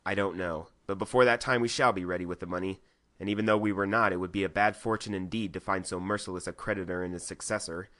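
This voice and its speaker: A man voicing an honest, earnest husband in a dramatised storybook reading, with a measured, formal delivery. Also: low-pitched, steady tone, fairly bright tone. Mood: relieved, tender. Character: relieved, honest indebted husband.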